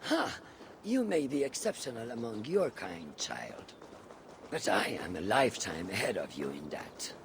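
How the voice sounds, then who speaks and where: An actor playing a seasoned older assassin mentor in a video game, shrewd and patient, speaking in measured, formal, archaic phrases. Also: male voice, lowish voice, wildly swooping pitch, gravelly, background noise, exaggerated voice. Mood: proud, teasing. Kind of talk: dramatic video game dialogue.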